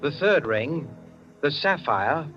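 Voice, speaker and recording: An actor narrating a 1940s radio mystery as a measured, formal, suspenseful storyteller. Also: male voice, a little high, expressive. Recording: dull vintage radio recording, music behind.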